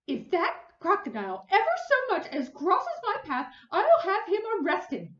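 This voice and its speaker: A woman voicing a shrieking, fussy middle-aged neighbor lady in a storybook reading, shrill and indignant. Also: huge pitch swings, measured pace, a bit bright, full character voice. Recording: roomy, slightly dull recording.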